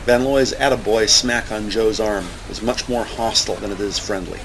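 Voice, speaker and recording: A man's voice: lowish voice, fairly even intonation, moderate pace, noisy background. A man reading scripted, present-tense narration for an audio drama as its narrator. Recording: music bed under the voice. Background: music.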